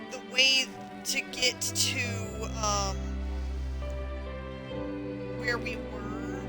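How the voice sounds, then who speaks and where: Female streamer, higher voice, casual hesitant rambling over loud game music. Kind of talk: casual commentary recapping game story.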